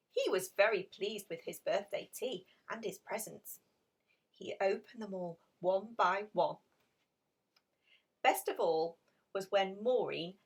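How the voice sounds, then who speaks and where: A woman reading a children's picture story aloud in an expressive, dramatic storytelling manner. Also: medium pitch, slightly rough, far from the mic. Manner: animated, dramatic emphasis with hushed passages. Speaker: storybook reader.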